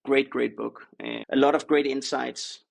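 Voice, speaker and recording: A Danish man talks casually as a podcast guest, speaking English with a Danish accent. His voice is heavily creaky and gravelly, sitting at an ordinary male pitch. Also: steady tone, a little slow. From Denmark. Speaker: podcast guest, startup co-founder. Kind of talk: casual interview chat.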